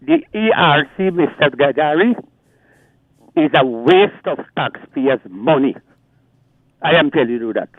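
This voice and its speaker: A man's voice: on the high side, expressive, a little slow, long pauses, no room sound. A Guyanese man calling in to a radio show, talking emphatically and pressing on over the host. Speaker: caller. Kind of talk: call-in rant. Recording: tinny phone line.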